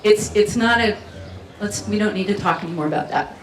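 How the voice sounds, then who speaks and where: A woman's voice: medium pitch, a little fast. A woman giving a playful, chatty keynote talk at a community festival, drawing the audience in. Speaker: festival speaker. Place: community event venue. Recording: roomy hall sound from far off, faint ambience.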